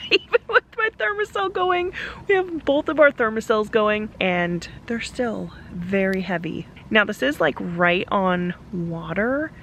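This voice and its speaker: Hoarse lady vlogger rambling outdoors at camp, lots of likes and laughs, faint outdoor noise. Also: mid-range voice, expressive, measured pace, a bit bright. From Alaska. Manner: chatty, laughs often, lots of fillers. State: losing her voice from a cough. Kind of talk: casual vlog talk to camera. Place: outdoors at a campground.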